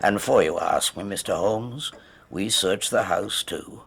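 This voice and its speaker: An actor playing a proud, eager and slightly prickly country police detective in a radio drama, with emphatic delivery. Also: male voice, sits low, unhurried, gravelly, a bit bright, breathy edge, heavily acted. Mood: eager, explaining.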